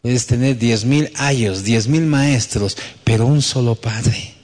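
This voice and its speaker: A man's voice: mid-range voice, slow pace, a little mellow. A man preaching as pastor to his church, speaking conversationally and often ending thoughts with a questioning tag. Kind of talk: sermon. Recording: long hall reverb.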